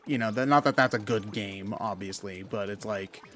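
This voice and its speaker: A man's voice: lowish voice, normal speed, fairly bright tone, faint music behind. A man commentating on games in a casual, conversational style. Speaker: game commentator. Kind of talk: casual game commentary chat.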